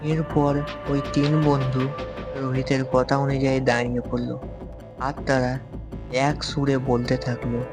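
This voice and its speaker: A woman's voice: very deep voice, flat delivery, measured pace. A woman reading scripted horror story narration as the storyteller. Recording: clear music under the narration.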